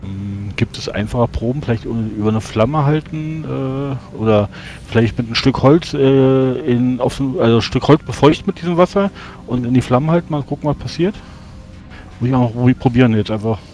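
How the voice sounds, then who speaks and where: A man playing a tabletop role-playing game, narrating his character's actions in a halting, tentative way. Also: mid-range voice, darkish tone. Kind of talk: improvised in-character play, narrating own actions.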